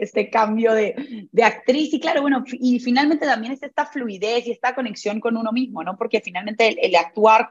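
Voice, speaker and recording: A woman's voice: on the high side, lo-fi sound. A woman hosting a podcast, introducing her guest and asking curious, enthusiastic questions with plenty of fillers. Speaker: podcast host. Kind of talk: casual interview hosting.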